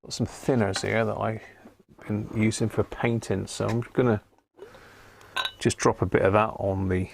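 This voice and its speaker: A British man giving casual, unhurried live commentary as he works at a repair bench, half muttering to himself. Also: fairly low pitch, little pitch movement, gravelly, slight background noise. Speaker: host of a live radio repair stream. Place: indoor repair workbench.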